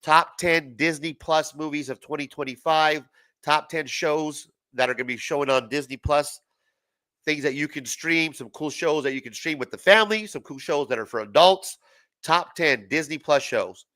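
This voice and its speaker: A man's voice: fairly high pitch, normal speed, pushed and bright, no echo. A man in his forties, congested from a cold, giving loose, profane, casual play-by-play commentary on a live stream. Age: 40s. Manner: loose, profane, chatty, laughs at his own jokes. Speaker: sports watch-party streamer.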